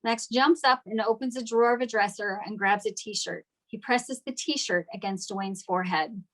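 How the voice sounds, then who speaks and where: A woman's voice: mid-range voice, moderate pace, a bit bright, poor audio. A woman giving scripted narration, reading out stage directions at a table read.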